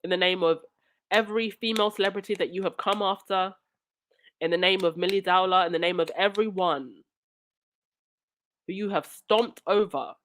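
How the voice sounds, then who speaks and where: A woman's voice: medium pitch, moderate pace, long pauses, slight background noise. Woman hosting a live stream with a cold. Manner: indignant, talks back to chat and supporters. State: stuffy nose from a cold. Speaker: livestream host. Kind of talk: live chat stream reading comments.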